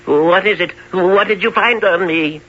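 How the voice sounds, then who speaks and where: Pompous fairy-tale king, fussy father, slow delivery, bright higher voice, muffled old radio drama. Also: exaggerated voice. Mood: curious. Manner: pompous, deliberate, hemming. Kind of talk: 1970s old-time radio drama acting. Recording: muffled 1970s radio recording.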